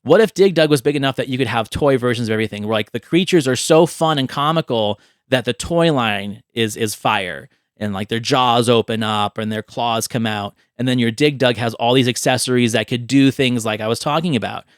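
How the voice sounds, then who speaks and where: A man's voice: mid-range voice, brisk, hardly any pauses, clear, resonant tone, dry recording, studio quality. A man hosting a podcast, chatting casually and enthusiastically in a rambling way. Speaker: podcast host. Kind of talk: casual chat about movie ideas.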